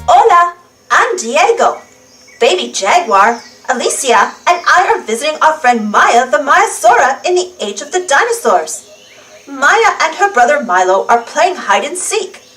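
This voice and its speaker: A high-voiced woman reads a picture book to small children, taking her time over each phrase. Her delivery is animated and deliberate, and she sounds set back from the microphone. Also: far from the mic. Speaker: children's storybook reader. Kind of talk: animated read-aloud storytelling for young children.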